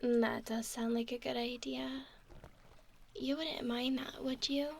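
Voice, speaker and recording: A woman playing a curious, affectionate mermaid in an ASMR roleplay, speaking gently and playfully, often in a whisper. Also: on the high side, fairly even intonation, slow pace, vocal fry, full character voice. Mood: caring. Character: curious, affectionate young female mermaid named Valerie.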